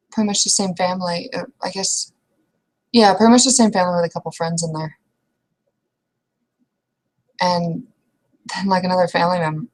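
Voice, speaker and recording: A woman speaking casually as a player in a roleplay session, hesitant and halting, often trailing off. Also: lowish voice, rough recording. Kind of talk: casual in-character roleplay talk.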